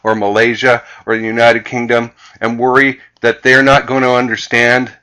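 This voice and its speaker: A man with a Canadian accent presenting a sales lesson as a coach, speaking emphatically and deliberately and repeating key phrases. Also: mid-range voice, completely flat delivery, sharp tone. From Vancouver, Canada. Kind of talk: instructional slide presentation.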